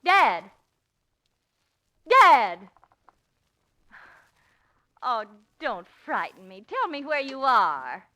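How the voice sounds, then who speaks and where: A woman's voice: high voice, wildly swooping pitch, very slow, long pauses, pushed and bright, exaggerated voice. A young woman playing an anxious daughter in peril, in 1940s radio acting style. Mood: calling out. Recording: noisy old 1940s radio recording.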